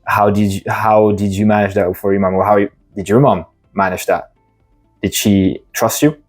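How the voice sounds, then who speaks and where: A man hosting an interview, asking halting questions and rephrasing them with many uhs. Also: fairly low pitch, steady tone, faint music behind.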